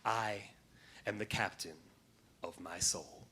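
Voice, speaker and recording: A young man performing poetry live to an audience, with an emphatic, theatrical delivery and dramatic pauses. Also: fairly low pitch, heavy background noise. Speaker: slam poet performing on stage. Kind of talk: live poetry reading and spoken word to an audience.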